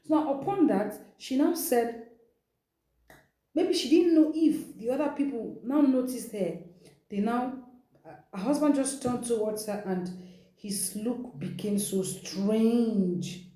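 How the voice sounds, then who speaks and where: Dark-toned Nigerian woman telling a dramatic story. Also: a little high, slow. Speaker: storytelling host. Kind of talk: dramatic storytelling, retelling someone's account. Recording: echoey room.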